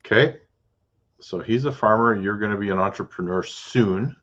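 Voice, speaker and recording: A man with a very deep voice hosts a podcast interview and keeps the chat loose and informal. He often restarts his questions and drops in short "okay"s and "yeah"s. The sound is muffled and a bit dull. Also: fairly bright tone. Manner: chatty, restarts his questions, quick acknowledgments. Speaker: podcast host and realtor. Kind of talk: casual interview.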